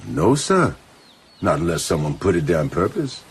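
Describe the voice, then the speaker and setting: An actor playing a plain-spoken, deferential Jamaican islander in a radio drama, with a Jamaican accent and folksy dialect phrasing. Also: male voice, deep voice, big pitch swings, normal speed, husky, full character voice. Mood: matter-of-fact. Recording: radio drama with faint background noise.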